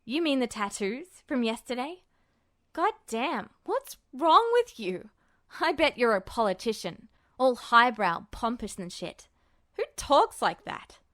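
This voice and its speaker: A woman's voice: on the high side, sing-song, a little slow, fairly bright tone. Woman voicing a brash, defensive young man. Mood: scornful. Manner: blunt, informal, sweary.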